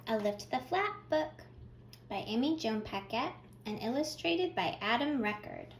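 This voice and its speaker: Children's storytime reader: woman, high and lively pitch, expressive delivery, addressing little ones, slight noise behind. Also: a little slow. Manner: expressive, addressing young children with simple questions and praise. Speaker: children's librarian, storytime host. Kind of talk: children's storytime read-aloud.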